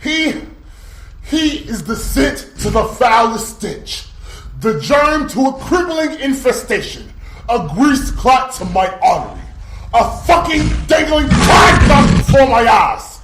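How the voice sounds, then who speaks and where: An actor playing a jealous, enraged panther lieutenant who rants pompously and turns coldly menacing, with music behind him in an echoey room. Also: male voice, very high voice, sing-song, slow pace, fairly bright tone, full character voice. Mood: raging. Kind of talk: fan audio drama acting.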